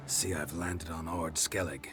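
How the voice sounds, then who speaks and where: A hardened fantasy game mercenary with an extremely deep voice. He is laconic and deadpan, stoic whatever is said, over a clear music score. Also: a little slow. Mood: guarded. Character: stoic, terse male monster-hunting mercenary. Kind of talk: video game cutscene acting.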